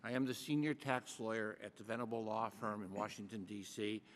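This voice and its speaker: An older man from Michigan, a tax lawyer giving measured, formal and courteous testimony before a committee in a large hearing room. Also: on the low side, fairly even intonation, long reverb tail, a little hiss or hum. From Michigan, USA.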